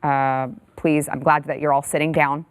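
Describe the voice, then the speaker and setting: A woman's voice: low voice, level tone, normal speed, smooth, no echo. A woman from Texas hosting a news panel show, breezy and joking as she chats with her guests. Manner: breezy, joking, self-mocking. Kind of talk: casual panel chat.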